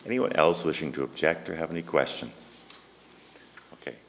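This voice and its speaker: A man's voice: a bass voice, normal speed, gravelly. A man chairing a council hearing as mayor, keeping it brief and procedural. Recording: noisy council chamber mic.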